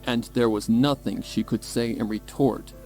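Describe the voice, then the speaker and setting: A man's voice: medium pitch, steady tone, normal speed, a little mellow. A man reading scripted, dramatic story narration as the narrator. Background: music bed.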